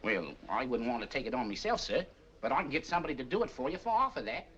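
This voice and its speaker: A brash Cockney pub musician. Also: male voice, medium pitch, big pitch swings, fairly bright tone, muffled recording. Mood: knowing. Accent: London Cockney. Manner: brash, streetwise, quick to bargain. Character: cheeky Cockney pub musician, middle-aged man. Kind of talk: 1940s film acting.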